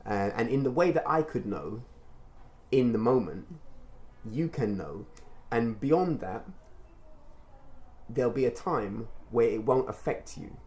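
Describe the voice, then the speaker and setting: A man talking informally as a mentor, explaining things in a measured, reflective and encouraging way. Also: medium pitch, animated, darkish tone. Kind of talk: informal testimonial conversation with a child.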